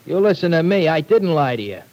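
A man's voice: fairly high pitch, very animated, fairly quick, a little mellow. An actor playing a sullen, defiant young male tough in a 1940s radio drama, talking in a resentful, threatening way. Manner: resentful, threatening street talk.

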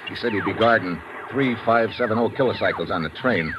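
An actor playing a tough, wisecracking American secret agent in a vintage radio adventure, clipped and matter-of-fact. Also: male voice, lowish voice, brisk, heavy background noise, lightly acted. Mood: brisk, steady. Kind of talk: 1950s radio adventure acting with first-person narration. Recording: dull old radio recording, music behind.

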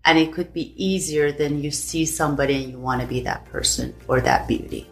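A woman's voice: deep voice, fairly quick, faint music behind. A woman from Iran with a Persian accent, speaking as a guest in an earnest, impassioned way with many restarts. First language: Farsi. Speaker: salon owner and guest. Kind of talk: interview chat.

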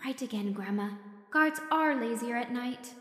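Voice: mid-range voice, unhurried, sharp tone, slightly rough, in a large hall, pitched above the performer's own voice. A narrator voicing a bold, defiant young woman gunslinger in a dramatic audiobook. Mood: cheeky, wry. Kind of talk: dramatic audiobook character acting.

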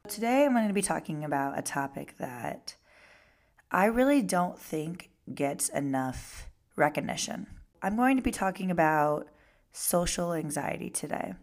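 Raspy, low-voiced young woman podcaster. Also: lively intonation, moderate pace. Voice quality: raspy, hoarse today. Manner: chatty, lots of "like" fillers. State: hoarse from singing and screaming. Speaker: podcast host. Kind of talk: casual solo podcast talk.

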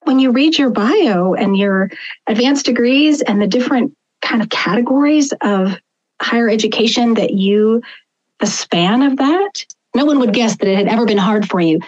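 A woman's voice: fairly high pitch, expressive, normal speed, a little mellow. Woman host on dull lo-fi audio. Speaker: interview host. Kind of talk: conversational interview.

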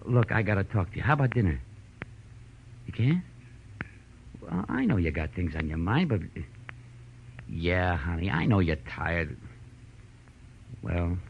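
An actor playing a sly, slangy burlesque comic in 1940s radio style, chummy and wheedling. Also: male voice, deep voice, vocal fry, heavily acted. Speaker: supporting actor. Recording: muffled, noisy old radio recording.

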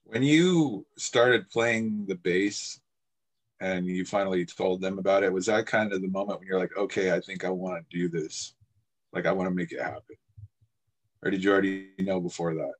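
A man co-hosting a podcast interview, asking long rambling questions in a relaxed way and laughing easily. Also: low-pitched, normal speed. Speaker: podcast co-host. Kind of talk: casual interview chat.

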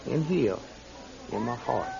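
An actor playing a genial, pious older traveling preacher in a radio drama, with courtly, unctuous phrasing. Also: male voice, medium pitch, animated, takes it slowly, gravelly, darkish tone, heavily acted. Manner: courtly, unctuous, quaintly formal. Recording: slightly dull radio recording.

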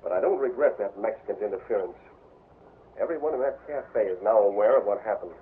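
An actor as a suave scheming gambler. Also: male voice, fairly low pitch, expressive, fairly quick, a little hiss or hum, natural voice. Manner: suave, crafty. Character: smooth scheming gambler villain. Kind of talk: 1940s radio acting. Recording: tinny old radio broadcast.

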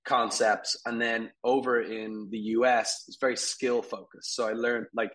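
A man in his thirties, from Ireland, talking casually as an interview guest, rambling with fillers and soft laughs. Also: mid-range voice, flat delivery, measured pace. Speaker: guest, furniture company CEO.

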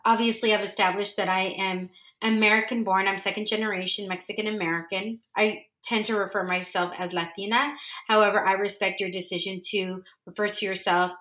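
A woman's voice: mid-range voice, pushed and bright, echoey room. A woman from San Diego hosting a podcast, talking in a rambling, earnest way and often correcting herself. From San Diego, California. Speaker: podcast host. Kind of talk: casual solo monologue.

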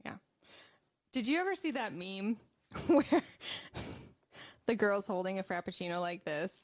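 A woman's voice: medium pitch, big pitch swings, unhurried, no room sound, clean studio sound. A woman co-hosting a casual live morning show, light and teasing in manner. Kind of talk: casual live-stream chat.

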